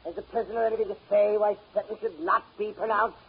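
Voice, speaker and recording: Pompous stern judge, really husky high voice, old radio play, dull sound. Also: male voice, fairly even intonation, fast, heavily acted. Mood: stern, impatient. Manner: curt, pompous, official. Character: stern, impatient male court judge. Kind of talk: 1930s radio drama acting. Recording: dull 1930s radio recording.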